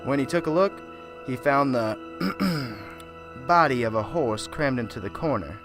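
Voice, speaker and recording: A man playing a gentle, wordy small-town reverend. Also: medium pitch, animated, unhurried, over music, natural voice. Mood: solemn. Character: soft-spoken small-town male reverend, earnest, rambling. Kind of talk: amateur radio drama.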